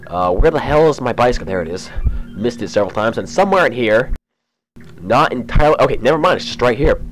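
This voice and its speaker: A young man with a cold and a slightly raspy voice, chatting casually as he narrates a game walkthrough. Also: fairly low pitch. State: has a cold. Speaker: let's play host. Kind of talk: casual gameplay commentary.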